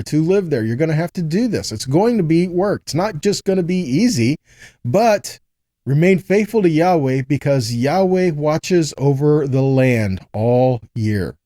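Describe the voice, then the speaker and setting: Male scripture teacher, slightly high but soft-edged voice, dry close sound, conversational and instructive. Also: expressive, moderate pace, no room sound. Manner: earnest, instructive, checks in with rhetorical questions. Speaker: Bible teacher giving a teaching. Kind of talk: conversational scripture teaching, reading verses aloud and explaining.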